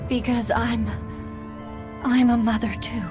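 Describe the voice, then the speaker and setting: Proud, protective mother, higher-pitched. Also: flat delivery, slow pace, music in the background. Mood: tearful, loving. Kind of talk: English-dubbed video game acting.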